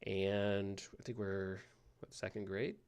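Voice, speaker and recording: Casting: soothing male sleep-podcast host, low-pitched and gravelly, rambling softly with lots of fillers. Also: little pitch movement. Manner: soft, slow and meandering, with frequent fillers.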